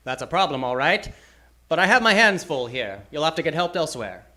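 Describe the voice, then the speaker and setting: An actor playing a hard-boiled, wisecracking detective who narrates and talks with dry wit in a live old-time radio noir. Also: male voice, on the high side, brisk. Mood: wry reluctance. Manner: dry, deadpan, wry.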